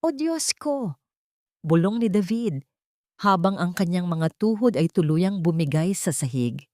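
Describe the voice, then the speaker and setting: A woman's voice: on the low side, normal speed, dark tone, no room sound, clean studio sound. A woman narrating a scripted dramatic story in a solemn, emotive storytelling manner.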